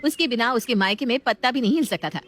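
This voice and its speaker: Fast-talking woman narrating a story. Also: a little high, lively intonation, a bit bright.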